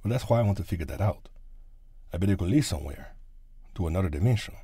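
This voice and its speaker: Curious adventurer, man acting: lowish, soft husky tone, lively pitch swings, eager. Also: fast talker. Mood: excited curiosity. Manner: eager, wondering. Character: curious, excitable male adventurer dreaming of secrets.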